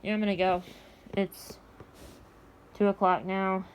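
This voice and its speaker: A woman's voice: mid-range voice, level tone, unhurried, a little hiss or hum. An American woman in casual vlog chat, talking in an offhand way with muttered asides. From United States.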